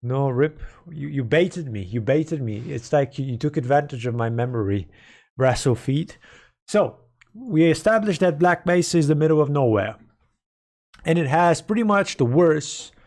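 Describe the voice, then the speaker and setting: Gravelly, hoarse man rambling to chat. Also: fairly high pitch, lively intonation, moderate pace, darkish tone. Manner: rambling, playful, mock-dramatic. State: hoarse, sore throat. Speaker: streamer. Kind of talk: casual livestream chat with viewers.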